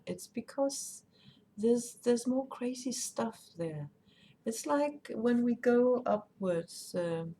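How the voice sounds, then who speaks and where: A woman's voice: medium pitch, a little mellow. A woman streamer with Danish as her first language, chatting casually and rambling while she plays and reads chat aloud. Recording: faint background noise.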